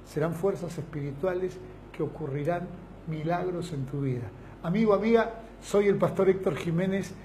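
Middle-aged argentine pastor inviting ppl to church, fervent listy delivery, kinda high but mellow voice, faint hiss behind. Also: male voice, rough recording. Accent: Argentine Spanish. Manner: fervent, emphatic preaching in long lists. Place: small indoor studio set, seated.

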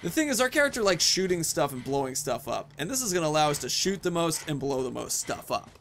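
A man's voice: fairly high pitch, big pitch swings, quick pace, a bit bright, slight background noise. A man in his thirties giving casual, playful gameplay commentary, rambling and talking to himself as he plays. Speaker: gaming video host.

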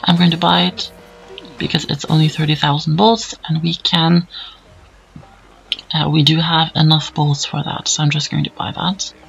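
A hoarse man talks through a game in an easygoing, meandering way, padding his sentences with fillers. His voice sits a little high and bright, and has a heavy gravelly fry. Faint game music plays beneath him. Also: little pitch movement, moderate pace, low-quality recording. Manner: rambling, easygoing, many fillers. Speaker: let's play commentator. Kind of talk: casual gameplay commentary voice-over.

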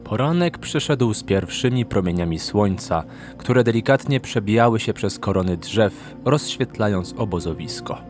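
A man narrates a fantasy audiobook from a script. His voice sits fairly low. Background music accompanies his storytelling. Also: expressive, normal speed.

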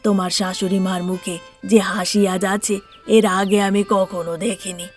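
A woman voicing a gentle, appreciative older father-in-law in a cartoon. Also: mid-range voice, flat delivery, a little slow, faint music behind, close to an everyday voice. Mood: grateful. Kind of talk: Bengali cartoon voice acting.

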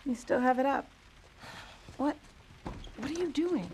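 A woman's voice: high voice, unhurried, darkish tone, poor audio. A woman acting a bold, teasing teenage rebel in a video game, with an American accent and a casual, coaxing way of talking. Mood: casual, amused.

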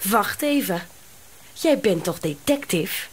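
An actress playing an unruffled, playful daughter in a radio drama, talking in a breezy, teasing manner. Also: female voice, mid-range voice, expressive, takes it slowly, husky. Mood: amused. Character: amused, unruffled, playful daughter of an eccentric man.

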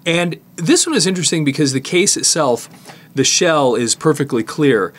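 A middle-aged man giving a casual hands-on tutorial, explaining in a halting way as he works with his hands. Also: on the high side, lively intonation, a little hiss or hum. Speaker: presenter demonstrating cassette disassembly.

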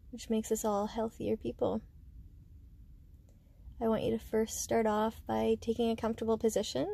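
A woman guiding a meditation with slow, soothing instruction, speaking gently and unhurriedly in short phrases with pauses. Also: fairly high pitch, creaky. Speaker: meditation guide.

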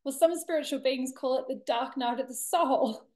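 A woman in her thirties giving a candid, reflective personal monologue to camera, expressive, with deliberate pauses and dramatic emphasis. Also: high-pitched. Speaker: vlogger sharing a personal story. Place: bright indoor room with large windows. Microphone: laptop webcam at desk distance.

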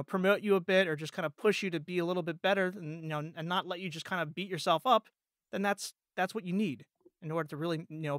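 Higher-voiced man in casual podcast talk. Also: fairly bright tone, no echo, clean studio sound. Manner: informal, peppers speech with "like" and "you know". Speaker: podcast guest, voice actor.

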